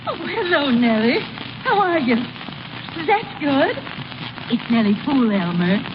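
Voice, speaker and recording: A woman's voice: high voice, big pitch swings, unhurried. An actress playing a doting, fussing small-town mother, homely and folksy, in old radio comedy style. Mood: attentive. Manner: homely, mild, folksy scolding. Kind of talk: 1930s-40s radio comedy acting. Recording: muffled, noisy old radio broadcast.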